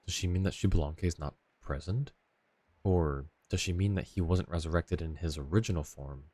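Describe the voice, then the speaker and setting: A man's voice: low voice, moderate pace, mellow, breathy edge, no echo. A man giving casual live commentary as a game streamer, close to the microphone.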